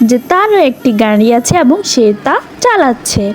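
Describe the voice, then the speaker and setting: একজন নারী কার্টুন ভিডিওর জন্য লিখিত গল্প বর্ণনা করছেন।. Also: female voice, sits high, big pitch swings, moderate pace, a little hiss or hum. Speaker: narrator. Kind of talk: scripted story narration for a cartoon video. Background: music.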